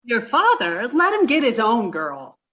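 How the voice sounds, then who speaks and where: Scatterbrained young woman, played by an actress: animated, naive, blunt, vintage radio comedy, slightly dull sound. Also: fairly high pitch, a little slow, heavily acted. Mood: blunt, earnest. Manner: naive, matter-of-fact. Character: dim-witted, cheerful, blunt young woman roommate. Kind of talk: 1940s radio comedy acting.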